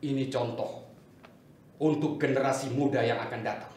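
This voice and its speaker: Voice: medium pitch, fairly even intonation, normal speed, darkish tone, natural voice. A performer playing a proud but deferential strategist to a general in a melodramatic stage reading. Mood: ashamed, resigned. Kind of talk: theatrical dramatic reading. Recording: echoey distant room with noise.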